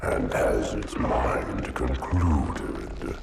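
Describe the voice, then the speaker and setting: A colossal, ancient parasite mind from a video game, speaks in an extremely deep, crackling growl. Every phrase is a grim, portentous pronouncement, delivered very slowly from far off amid loud rumbling. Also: male voice, lively intonation, heavily acted. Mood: ominous. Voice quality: gravelly, growling, cavernous. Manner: slow, rhythmic, portentous pronouncements. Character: vast ancient alien parasite hive mind, male, grim and oracular. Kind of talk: theatrical video game villain acting. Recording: echoing, distant, with loud creature rumble.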